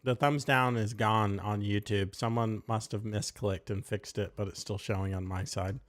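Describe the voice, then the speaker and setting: A man's voice: fairly low pitch, steady tone. A man co-hosting a live talk show, giving blunt, opinionated takes in casual chat. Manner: blunt, opinionated, quick asides. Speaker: podcast co-host.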